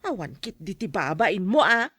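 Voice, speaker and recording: A woman's voice: mid-range voice, sing-song, moderate pace, bright, forceful tone, breathy edge, no echo, heavily acted. A woman with an Ilocano accent playing a stern woman who rebuffs a drunkard in an audio drama. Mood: stern, scolding. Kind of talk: Ilocano radio-style drama acting.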